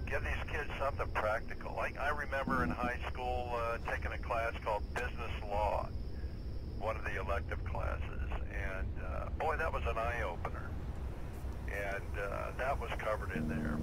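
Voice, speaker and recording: Through very loud noise and vehicle drone comes an American man on a two-way radio. His voice is on the low side, and he chats in a casual, colloquial, emphatic way.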